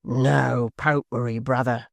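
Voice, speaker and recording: A gravelly, wheezing old hangman with a sly, ghoulish relish in every word, done by a male narrator. He draws words out slowly, as if savouring them. The sound is dry and close. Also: mid-range voice, flat delivery, no echo. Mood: rowdy.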